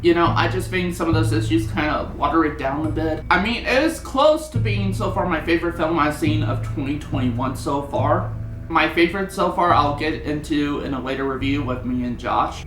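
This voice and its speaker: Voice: mid-range voice, fairly quick, fairly bright tone. A person giving a casual, opinionated film review in a rambling, conversational way. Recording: roomy echo, music underneath.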